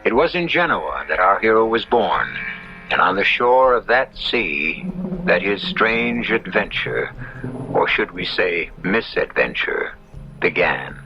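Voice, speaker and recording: A man hosting a 1970s radio mystery drama, introducing the story in a measured, dramatic storyteller's manner. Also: low voice, big pitch swings. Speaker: radio drama host and announcer. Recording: muffled 1970s radio broadcast, faint music.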